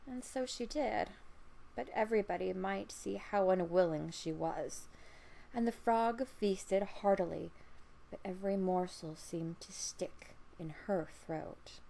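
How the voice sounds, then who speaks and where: A woman's voice: mid-range voice, measured pace, creaky, mellow, a little hiss or hum. A woman storyteller reading a fairy tale aloud as narration.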